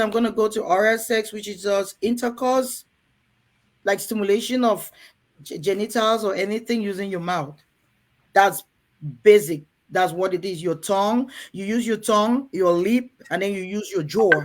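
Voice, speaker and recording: A woman's voice: mid-range voice, moderate pace. A woman from Cameroon hosting a frank talk to women in a room, earnest and coaxing, often turning to the ladies in her audience. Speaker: host.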